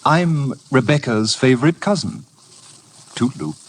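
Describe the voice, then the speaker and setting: An actor playing a breezy, insolent cad with a mocking, flippant drawl in a 1950s radio drama. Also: male voice, medium pitch, expressive, measured pace. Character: breezy, smirking cad, insolent and over-familiar. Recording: noisy vintage radio broadcast recording.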